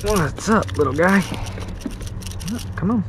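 Man vlogging outdoors over clear background noise. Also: high voice, lively intonation, takes it slowly. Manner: marvels, repeats phrases. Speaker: fishing vlogger. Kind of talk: casual live fishing commentary to viewers. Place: outdoors on a kayak on a creek.

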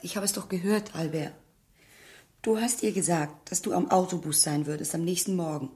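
An actress playing an anxious, devoted, jealous village wife, emotional and pleading, in a German radio crime drama. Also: female voice, on the low side, normal speed, warm tone, close to an everyday voice. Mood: hurt, desperate. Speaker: actor in a radio play.

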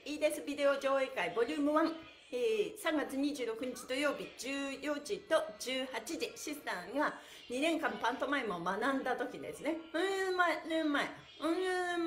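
A middle-aged woman leading a livestreamed stretch class in a cheerful, giggly, encouraging way, with plenty of energy. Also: high-pitched. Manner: energetic, chanting counts and slogans. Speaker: stretch class host and pantomime performer. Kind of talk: livestreamed exercise instruction with chat to viewers. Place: small bare-walled indoor room. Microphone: phone or camera a short distance away. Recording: echoey room, distant camera mic.

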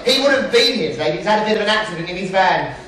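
A man's voice: sits high, animated, very fast, heavy reverb, a crowd in the background. A man with an English accent playing a cheeky, poor widowed pantomime dame, delivering theatrical comic patter to an audience. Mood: cheeky, gossipy. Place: theatre stage, recorded from the audience. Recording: distant noisy stage recording with audience.

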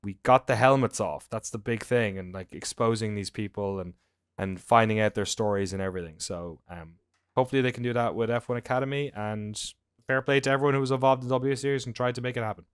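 An Irish man with a clear, smooth voice chats as a podcast co-host. His delivery is informal and animated, full of loose storytelling, and the sound is dry and close. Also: mid-range voice, fast, no room sound. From Ireland. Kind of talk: casual chat, anecdotes. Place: indoor room at home on a video call.

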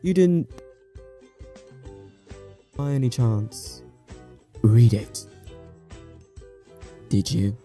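A very dark, mellow male voice from an actor playing a spiteful, secretive man in a webcomic dub. He is curt and sardonic, with cutting irritation and faint music underneath. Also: mid-range voice, lively intonation, drawn-out words, long pauses. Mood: suspicious, irritated. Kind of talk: dubbed webcomic voice acting.

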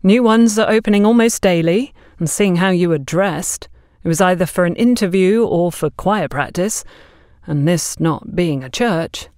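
A woman's voice: on the low side, moderate pace, darkish tone, dry recording, lightly acted. A friendly, chatty young security guard voiced by a woman talks in an audio story. The recording is dry and close. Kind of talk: audio story character dialogue.

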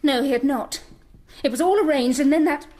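An actress playing a frank, proud, grieving Victorian stage actress in a radio drama, formal and theatrical. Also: female voice, high-pitched, a little fast, dark tone, natural voice. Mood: bitter.

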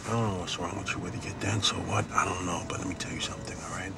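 An actor playing a married businessman in his car, firmly telling a coworker to leave, his voice climbing to shouts. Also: low voice, fairly even intonation, racing, creaky, background noise. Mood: angry. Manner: firm, rising to angry shouting. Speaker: married executive. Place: parked car in a garage. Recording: film audio under music score.

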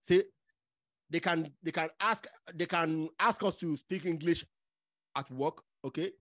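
A man's voice: a little high, measured pace, a bit bright, dry recording, studio quality. Talkative Nigerian-born man, Igbo native speaker. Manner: interrupts, repeats words, "okay?" checks. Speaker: podcast host. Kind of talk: conversational podcast interview.

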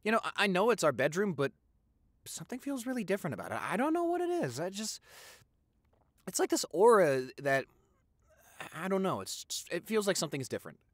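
A young man playing an affectionate, excitable vampire boyfriend in an intimate audio roleplay, gushing and stammering. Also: high voice, a little fast, no echo, clean studio sound, full character voice. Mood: curious, hesitant. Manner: gushing, stammering, repeats himself.

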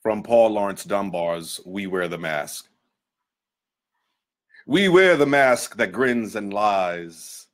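Slow, expressive man reading dramatically. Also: fairly low pitch. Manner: theatrical, deliberate, with weighty pauses. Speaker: performer reading literature.

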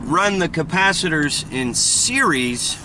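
Slow-talking man vlogging from his work van. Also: fairly high pitch, expressive. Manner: slow, thinking aloud, with fillers and restarts. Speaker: HVAC technician vlogger. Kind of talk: casual talking-to-camera vlog. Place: inside a work van, driving. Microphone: camera on the dashboard. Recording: loud road noise inside a moving van. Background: loud road and engine noise.

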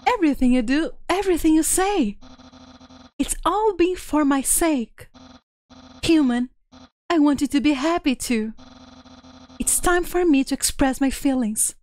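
A woman's voice: sits high, expressive, measured pace, pauses often, a little mellow. A woman voicing a vain, earnest male skeleton from a video game in a pompous, melodramatic manner. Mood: dramatic, earnest. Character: vain, earnest, theatrical male skeleton, bombastically self-confident. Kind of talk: dubbing video game dialogue on a livestream.

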